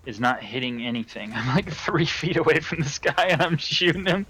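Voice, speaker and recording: A man's voice: fairly high pitch, moderate pace, a crowd in the background. A young American man chatting casually while playing a game with a friend. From United States. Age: young adult. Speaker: co-player in a gaming video. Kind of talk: casual chat while gaming.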